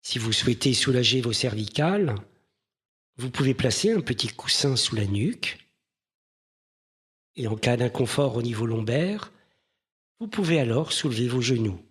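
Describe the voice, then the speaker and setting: A man leading a guided mindfulness meditation in a gentle, measured manner with pauses between phrases. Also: mid-range voice, lively intonation, breathy edge.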